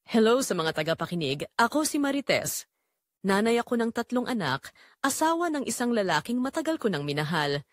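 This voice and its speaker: A woman's voice: fairly high pitch, big pitch swings, moderate pace, dry recording, studio quality. A woman playing a weary, neglected middle-aged Filipina mother, telling her sorrowful story in an audio drama with a wistful, emotive manner and a Filipino accent. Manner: wistful, emotive, addressing listeners directly. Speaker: narrator and main character of an audio drama. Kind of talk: first-person dramatic confessional storytelling.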